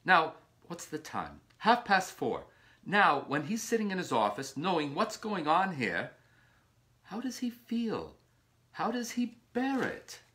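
A man's voice: high-pitched, huge pitch swings, takes it slowly, pushed and bright, exaggerated voice. A man acting in a stage play as a cruel, agitated lover ending an affair, insistent and repetitive. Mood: agitated, taunting.

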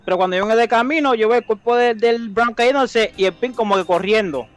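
A man in a casual online game, excitable and defensive, switching between Spanish and English as he pleads his case. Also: very high-pitched, fast talker. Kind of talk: casual gaming chat. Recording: game music behind.

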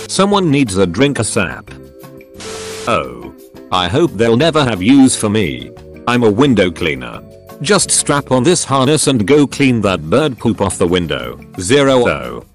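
Male narrator for scripted readings of online comments: fairly deep, highly varied intonation.

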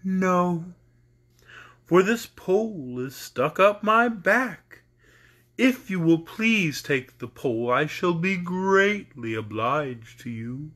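A man voicing a friendly straw scarecrow with a husky voice, drawling his words slowly and politely in a storybook reading. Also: sits high, expressive, fairly bright tone, heavily acted. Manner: slow, drawn-out, polite speech.

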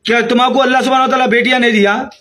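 A man in his fifties speaks with a Hyderabadi accent in a very high-pitched voice. He delivers an agitated statement to camera, scolding and repeating himself as he rants. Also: fairly even intonation, rapid-fire, bright, forceful tone. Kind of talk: angry rant to camera. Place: small room indoors.

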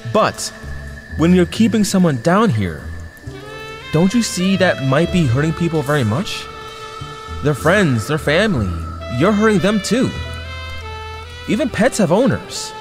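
Young male superhero man actor, hesitant, conciliatory, lively intonation, audio drama, echoing reverb. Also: a little high, unhurried, pauses often. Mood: hesitant, earnest. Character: earnest, awkward, conciliatory young male superhero held captive. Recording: long reverb over faint music.

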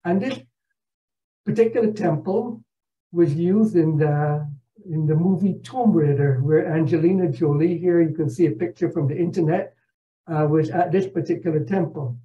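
An older man from Barbados giving a narrated travel slideshow over a video call, speaking in a measured, halting, explanatory way. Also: fairly high pitch, dark tone. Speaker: presenter, retired professor. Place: indoor room at home, on a video call. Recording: dull video call audio.

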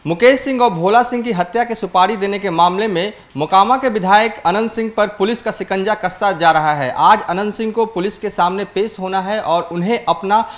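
A young male reporter delivers a news report to camera in a studio, speaking into a handheld mic. His voice sits high for a man, and he reads in a brisk, urgent way, now and then restarting a phrase. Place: newsroom studio.

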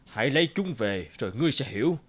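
A man's voice: mid-range voice, very animated, fast talker. A man voicing a stern, commanding wild forest creature who acts as mentor in a cartoon. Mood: stern, instructing. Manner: commanding, bargaining.

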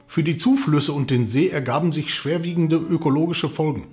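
A man's voice: a little high, moderate pace, darkish tone. A man in his forties hosting a travel channel, presenting scripted narration to camera indoors. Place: indoors, living room. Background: background music.

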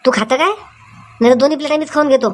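A woman's voice: sits high, rapid-fire, close to an everyday voice. A woman voicing a greedy, carefree grown son in a cartoon comedy, with a rural Vidarbha Marathi accent and a cheeky manner. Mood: greedy, smug. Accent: Marathi, Vidarbha dialect. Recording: music under the voice.

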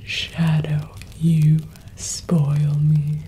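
Young woman for whispered ASMR witch role: very slow, low-pitched, doting, soothing, close-miked. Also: completely flat delivery, mellow, slight background noise. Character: doting, mischievous witch pampering her cat familiar. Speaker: ASMR performer. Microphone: close ASMR microphone.

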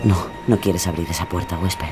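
Guy doing creepy taunting inner voice, horror radio play, echoey with loud music behind. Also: sits low, fairly even intonation, moderate pace, natural voice. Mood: warning. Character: ominous, taunting inner voice addressing a guest. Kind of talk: horror audio drama acting.

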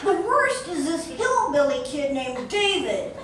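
A woman's voice: sits high, sing-song, measured pace. A woman reading aloud as a young boy who writes complaining emails home from summer camp, giggling through the lines. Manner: reads through frequent giggles. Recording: echoey room, far from mic, noisy.

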